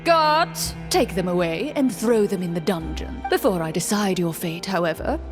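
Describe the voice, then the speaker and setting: A woman's voice: lowish voice, animated, moderate pace, close to an everyday voice. Woman as a formal, theatrical game master over music. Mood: haughty, accusing. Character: composed game master narrating and playing a haughty prime minister. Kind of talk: video game voice acting, tabletop narration.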